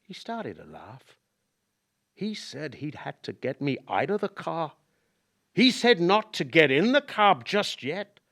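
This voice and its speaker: An actor playing a haunted former cab driver telling a grim memory in a radio play, halting and emotive. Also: male voice, on the high side, very animated, a bit bright, full character voice. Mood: anguished. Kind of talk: dramatic radio play monologue.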